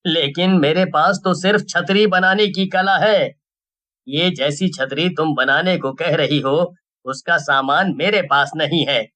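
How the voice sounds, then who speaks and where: A man's voice: a little high, a little slow, sharp tone. A man voicing a panicky, selfish villager in a story, agitated, complaining and scornful. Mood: defensive.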